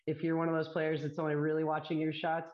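A woman's voice: sits low, completely flat delivery, fast. A young woman talking casually as an interview guest, chatty and bubbly, laughing often. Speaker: guest, disc golf player and commentator. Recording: echoey, dull lo-fi call audio.

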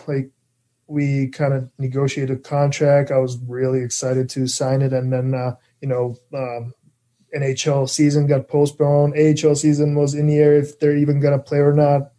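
A young man from the Czech Republic, a Czech native speaker, answering interview questions in English in a relaxed, halting way with many fillers. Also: mid-range voice. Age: young adult. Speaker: guest, pro hockey player. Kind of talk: casual interview chat.

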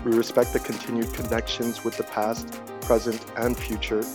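A man from Montreal, a podcast guest, friendly and quick to laugh. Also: medium pitch, completely flat delivery, over music. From Montreal, Canada. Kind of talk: casual chat.

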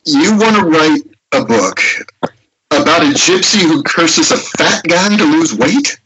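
A man's voice: on the high side, expressive, measured pace, background noise. A man playing a weary forty-year-old literary agent in a screenplay table read, asking dry, hesitant questions. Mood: weary, doubtful. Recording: muffled internet call, other voices around.

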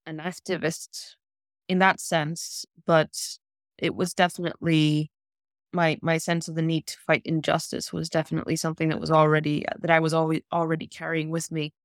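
A woman from South Africa, a human rights lawyer, answering interview questions in a measured, thoughtful way with pauses. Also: sits low, dry recording, clean studio sound.

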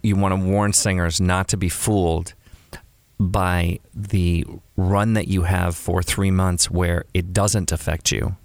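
Man, very deep voice, agreeable host, dry close sound. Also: level tone, slow pace, no echo. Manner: agreeable, frequent affirmations. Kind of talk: casual interview chat.

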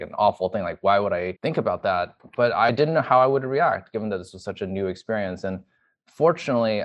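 A man speaking earnestly and reflectively as he gives a personal account in an interview, often repeating words for emphasis. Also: deep voice. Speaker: interviewee, emergency physician.

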